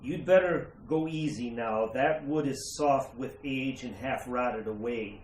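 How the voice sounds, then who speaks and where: A man playing an unflappable, slightly fussy judge with a halting, courteous manner in a retro radio horror play. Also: mid-range voice, a little mellow, slight background noise, natural voice. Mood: cautious. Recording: roomy, echoing sound.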